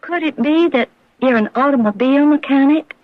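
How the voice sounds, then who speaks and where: An actress playing a friendly, curious, bookish garage owner in an American radio drama, with an American accent and a teasing, probing way of talking. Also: female voice, high voice, a little fast, dry recording, close to an everyday voice. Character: friendly, curious, bookish woman running a desert roadside garage. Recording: dull old radio recording.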